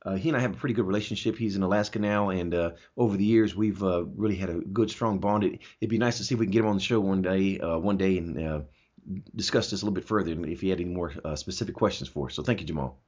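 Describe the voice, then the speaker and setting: A man with a husky voice hosting a talk show, explaining his opinions emphatically and posing rhetorical questions in a conversational way. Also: low voice, fast talker, darkish tone. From Germany. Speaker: talk show host answering listener questions. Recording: dull, lo-fi audio.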